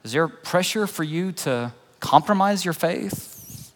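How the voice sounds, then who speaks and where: A man's voice: a little high, animated, slow. A middle-aged man preaching a sermon in a conversational, earnest way, telling personal stories and putting questions to his listeners. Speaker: pastor preaching. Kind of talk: sermon with personal storytelling and illustration. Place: large church room, preaching to a congregation. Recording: long hall reverb.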